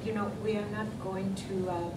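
A woman's voice: medium pitch, fairly even intonation, distant mic, very noisy. An older woman telling stories on a convention panel, laughing often. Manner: slow, laughing storyteller. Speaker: panel guest actress. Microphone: table mic on stand, far. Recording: roomy hall with loud crowd.

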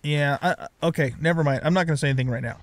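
A man's voice: on the high side, racing. A middle-aged or older man giving casual let's play commentary, rambling as he thinks aloud. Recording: clear background noise.

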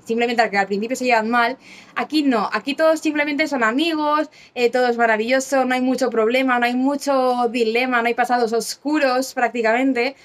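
A young woman with a slightly high, hoarse voice talks casually to camera about a book she is reading. She rambles in a chatty way, full of asides and fillers, and sounds close to a phone or camera mic at home. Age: young adult. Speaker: book vlogger. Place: small rooms at home and an outdoor terrace.

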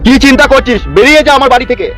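Man playing a rapid-fire ranting cartoon father. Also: extremely high pitch, fairly bright tone. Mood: furious. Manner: ranting, scolding, exaggerated. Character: furious, foul-mouthed protective village father. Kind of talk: melodramatic comedy cartoon dubbing. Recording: music under the voice.

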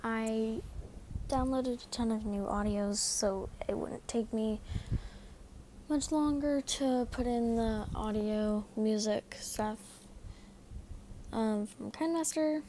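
A woman's voice: on the high side, slow, warm tone, a little hiss or hum. A tired young woman giving casual voice-over narration for her drawing video, rambling with lots of fillers and apologies, with the mic right next to her mouth.

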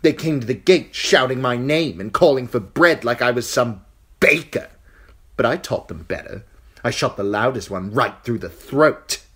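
A spoiled young king sneers and boasts in a raised, needling voice, put on by a male reader. The tone is lively and a little husky, swinging up and down as he gloats. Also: medium pitch, moderate pace, pitched above the performer's own voice. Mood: gloating. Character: cruel petulant boy king.

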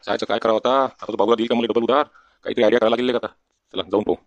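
A man's voice: on the low side, steady tone, rapid-fire, no room sound, dull audio, lightly acted. A man voicing a wily, wheedling village debtor in a comic cartoon, with a rural Varhadi Marathi accent and questioning grunts tacked onto sentences. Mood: grumbling, worried. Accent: rural Maharashtra, Varhadi. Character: wily village husband, evasive debtor sweet-talking the shopkeeper.

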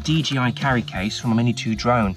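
A man's voice: mid-range voice, fairly quick, very noisy. A man in his thirties with an English accent, chatting cheerfully to camera as a van life vlogger inside a parked camper van. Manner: chatty, cheeky, upbeat. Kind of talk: casual vlog chat to camera. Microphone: action camera on a mount. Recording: music playing underneath.

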